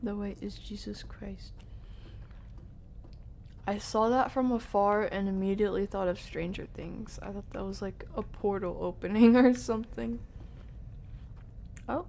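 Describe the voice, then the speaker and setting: A woman's voice: medium pitch, a little slow, creaky, long pauses, darkish tone, a little hiss or hum. A woman streaming a game with casual, chatty live commentary and mild substitute swearing.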